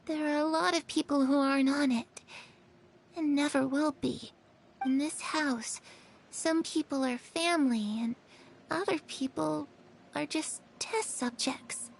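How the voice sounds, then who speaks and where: A woman voicing an innocent, eerily matter-of-fact girl ghost in a video game, speaking in a hushed way. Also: high voice, measured pace, gravelly, a little hiss or hum. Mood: quietly ominous.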